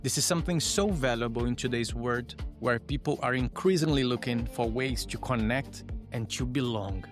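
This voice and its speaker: A man hosting an interview podcast, speaking in a measured, explanatory way. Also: mid-range voice, over music. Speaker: podcast host. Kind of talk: interview podcast with scripted promo.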